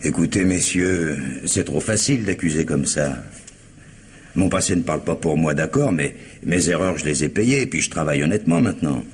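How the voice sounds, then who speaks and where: An actor playing a streetwise, self-justifying ex-convict protesting under police questioning in a French radio crime drama. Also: male voice, very deep voice, lively intonation, moderate pace, a little hiss or hum. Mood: indignant. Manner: slangy, protesting, emphatic.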